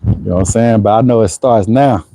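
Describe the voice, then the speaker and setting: Laid-back man in his forties, mellow voice. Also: fairly low pitch, a little fast, a little hiss or hum. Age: 40s. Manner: laid-back, conversational, frequent "you know what I'm saying". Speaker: HVAC business owner hosting a livestream. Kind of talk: casual livestream chat answering viewers. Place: Houston area.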